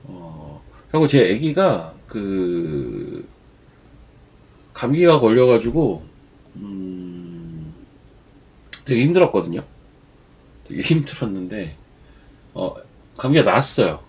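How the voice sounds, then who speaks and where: A man's voice: low voice, very animated, takes it slowly, long pauses, a little mellow, husky. A man with a cold giving chatty, rambling stock market commentary as the show's regular commentator. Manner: chatty, digresses into personal stories. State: has a cold. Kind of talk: casual market commentary on a live stream.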